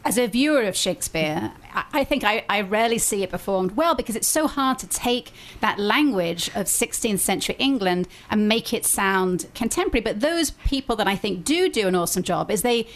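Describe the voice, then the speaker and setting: A woman's voice: mid-range voice, expressive, fairly quick, hardly any pauses. A woman hosting a casual interview chat, asking rambling questions and laughing easily. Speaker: radio show host.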